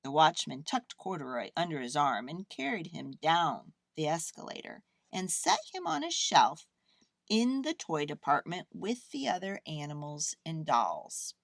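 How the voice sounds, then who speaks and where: A woman narrating a children's storybook as an expressive storyteller. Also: low voice, a little slow, creaky, no echo, clean studio sound.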